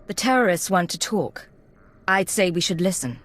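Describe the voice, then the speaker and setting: An actress playing a cool, self-possessed imperial agent in a video game, speaking in a terse, controlled way. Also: female voice, fairly low pitch, lively intonation, normal speed. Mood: cool, firm.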